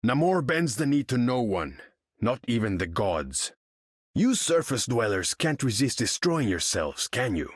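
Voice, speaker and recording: An actor playing a proud, imperious undersea king in a video game, speaking formally and pompously with a husky edge. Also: medium pitch, sing-song, measured pace, dry recording, clean studio sound. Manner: pompous, formal, grandiose, speaks of himself in third person. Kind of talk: video game character voice lines.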